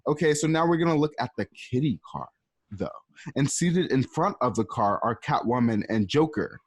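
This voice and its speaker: A man's voice: mid-range voice, lively intonation, moderate pace, breathy edge. A man hosting and narrating an amateur radio play, reading the stage directions casually and adding chatty asides. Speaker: narrator and host.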